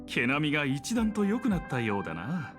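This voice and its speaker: Theatrical, chatty doting dad, swooping intonation. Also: male voice, fairly high pitch, wildly swooping pitch, rapid-fire, music in the background. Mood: musing. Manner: over-the-top, chatty, self-assured. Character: talkative, theatrical doting middle-aged father, proud academic. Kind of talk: Japanese game voice acting.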